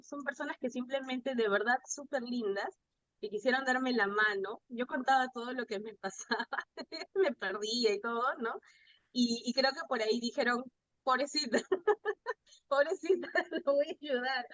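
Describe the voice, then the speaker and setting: A woman's voice: on the high side, a bit bright, low-quality recording. A woman with a Peruvian Spanish accent talking casually as an interview guest, telling anecdotes in a chatty way and acting out bits of dialogue. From Peru. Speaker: guest, pastry chef. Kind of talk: casual conversational storytelling.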